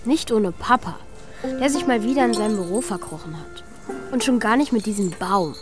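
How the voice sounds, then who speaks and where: A woman's voice: mid-range voice, lively intonation, normal speed, over music, lightly acted. A woman playing a pensive, wistful child who narrates and speaks plainly in a children's radio play. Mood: sulky, wistful. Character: Mika, pensive child missing a parent at Christmas. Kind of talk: radio play first-person narration and dialogue.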